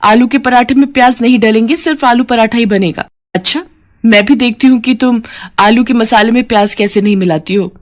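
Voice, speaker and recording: A woman's voice: on the high side, a little fast, natural voice. A woman voicing a proud working daughter-in-law, indignant and childishly argumentative, in a family story. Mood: defiant.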